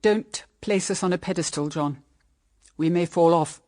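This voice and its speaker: An actress playing a gentle, wise, dignified older mother in a period radio drama, speaking in an earnest, formal, measured manner. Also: fairly low pitch, close to an everyday voice. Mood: composed.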